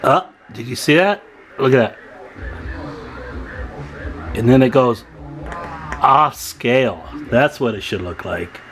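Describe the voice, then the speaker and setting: A man's voice: medium pitch, animated, slow pace, long pauses, crowd noise. Man casually talking through hands-on repair. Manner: informal, thinking aloud while working. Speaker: radio repair technician, video host.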